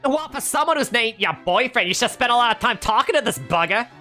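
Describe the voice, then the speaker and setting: Woman putting on a shrill, sassy diva stylist. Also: big pitch swings, normal speed, bright, forceful tone, faint music behind, heavily acted. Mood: teasing. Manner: sassy, ranting, theatrical. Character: dramatic, impatient female stylist in her thirties.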